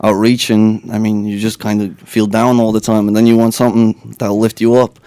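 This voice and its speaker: A man's voice: fairly low pitch, little pitch movement, fairly quick, warm tone, no room sound. A young man from Latvia, a guest rapper chatting in a radio studio, rambling and laid-back with lots of fillers. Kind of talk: casual interview chat.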